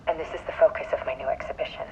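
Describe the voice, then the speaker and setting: An actress playing a haunted, defiant art photographer, speaking a deliberate, grave confessional monologue. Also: female voice, low-pitched, steady tone, mellow, natural voice. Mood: resolute, brooding. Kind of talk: documentary-style confessional monologue. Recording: muffled lo-fi audio, faint noise.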